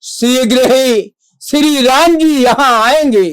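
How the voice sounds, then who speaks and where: A stage actor performing a devotional monologue as a reverent, valiant divine monkey messenger, with formal, declamatory diction and dramatic pauses. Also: high voice, clear, resonant tone, no room sound.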